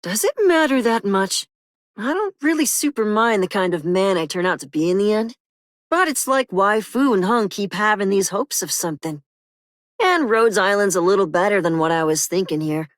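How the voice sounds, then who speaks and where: Game role: offhand, loyal youngster, woman acting, medium pitch, nonchalant, close dry sound. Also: expressive, moderate pace, no echo, close to an everyday voice. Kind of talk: video game character voice lines.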